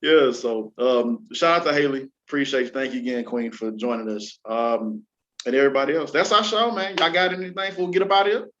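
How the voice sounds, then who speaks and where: A man's voice: mid-range voice, fairly even intonation, a little fast, a bit bright, slight background noise. A man hosting a casual entertainment chat show, talking in an informal, slangy, exclamatory way and at times reading items aloud. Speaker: talk show host. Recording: dull, lo-fi sound.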